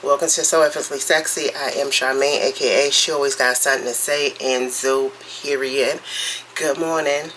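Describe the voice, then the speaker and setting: A woman's voice: extremely low pitch, fairly even intonation, fairly bright tone, slight background noise, low-quality recording. Chatty congested woman vlogging her morning. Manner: chatty, rambling, drawn-out storytelling. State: congested with a cold. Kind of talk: casual morning vlog chat. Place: home kitchen, cooking.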